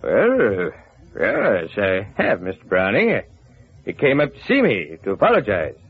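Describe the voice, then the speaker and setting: An embarrassed, polite businessman in a vintage radio play. He is husky and halting, with wide swings in pitch, on muffled old broadcast sound. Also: wildly swooping pitch, heavily acted. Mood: uneasy, embarrassed. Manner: hesitant, halting. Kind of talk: old-time radio crime drama acting.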